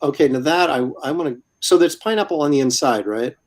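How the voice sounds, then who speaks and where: A man's voice: a little high, fairly quick. A man in his sixties hosting a casual live stream, chatting with a guest and reading viewer comments aloud. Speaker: host.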